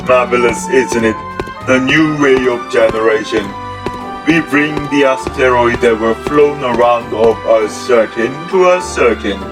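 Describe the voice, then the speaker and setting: An actor playing an enthusiastic research team leader in an amateur audio drama, speaking halting, stilted English. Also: mid-range voice, low-quality recording. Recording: music under the voice.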